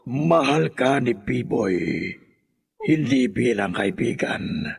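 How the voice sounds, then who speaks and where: An actor playing a menacing middle-aged sorcerer who serves Satan, raspy and theatrical, in a radio horror drama. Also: male voice, medium pitch, animated, slow pace, full character voice. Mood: sinister resolve. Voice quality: raspy, gravelly. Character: menacing older sorcerer father serving Satan.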